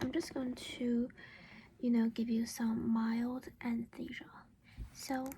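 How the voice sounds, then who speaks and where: A woman whispering in a gentle, reassuring, halting way, in an ASMR roleplay as a caring vampire mother. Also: fairly high pitch, a little hiss or hum, poor audio. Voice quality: breathy whisper. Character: caring vampire mother doing a dental checkup.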